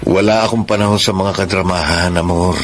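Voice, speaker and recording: An actor playing a middle-aged husband and teacher with a cold, dismissive temper in a radio drama. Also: male voice, low voice, steady tone, a little fast, natural voice. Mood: irritated, defensive. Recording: music behind the voice.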